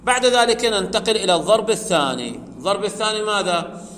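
Man, grammar teacher, high voice, long reverb in a hall. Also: fairly bright tone, a little hiss or hum. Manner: explains step by step, asks the class questions; chants quoted verses slowly. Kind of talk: classroom lecture on grammar. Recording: echoey hall with faint ambience.

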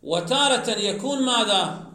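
Male teacher with a high voice lecturing on grammar and quizzing the class, recorded in an echoey room. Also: animated, pushed and bright. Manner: slow, explanatory, asks the class questions then answers them.

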